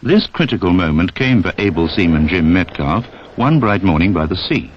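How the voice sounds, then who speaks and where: Low-voiced narrator, old film sound. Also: male voice, expressive, normal speed, a little hiss or hum. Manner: direct, addresses the listener, rhetorical questions. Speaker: narrator of a public information film. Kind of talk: 1960s instructional film narration, scripted. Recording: dull band-limited 1960s film soundtrack.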